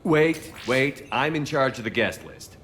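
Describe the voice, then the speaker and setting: A man playing a gruff, steady, heroic military commander in a video game, speaking tersely with firm authority. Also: medium pitch, measured pace, a little hiss or hum. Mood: easygoing. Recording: loud music behind the voice.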